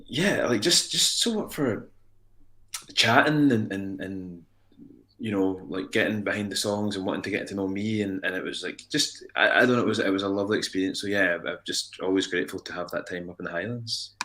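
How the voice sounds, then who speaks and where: Young Scottish male musician, low bright voice, rambling casual guest chat. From Scotland. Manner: rambling, halting, repeats words.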